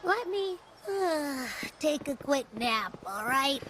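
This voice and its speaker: A woman's voice: high-pitched, expressive, slow, a bit bright, slight background noise, exaggerated voice. A woman voicing a small, playful and boastful creature companion in a video game, chatty and informal. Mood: sleepy.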